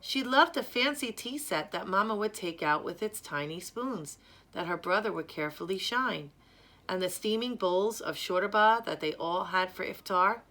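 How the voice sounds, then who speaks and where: Female storyteller for children's read-aloud. Bright tone, careful measured delivery, expressive. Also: mid-range voice. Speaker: storyteller reading a picture book.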